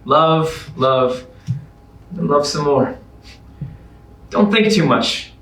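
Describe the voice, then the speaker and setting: A man's voice: a little high, expressive, drawn-out words, fairly bright tone, exaggerated voice. A man playing an upbeat, sassy narrator in a staged musical comedy reading, talking and singing. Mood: warm, reflective. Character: Oliver, upbeat sassy man, contest survivor turned narrator. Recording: distant echoey stage recording with music.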